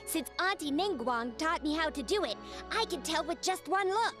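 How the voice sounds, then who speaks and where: A woman's voice: extremely high pitch, animated, unhurried, music in the background. A woman voicing a chatty, observant young child in a video game, eager and proud.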